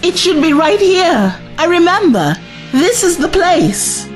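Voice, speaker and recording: A woman's voice: extremely high pitch, big pitch swings, takes it slowly, faint music behind, heavily acted. An actress playing a forthright, loyal young woman adventurer in an audio drama. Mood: earnest.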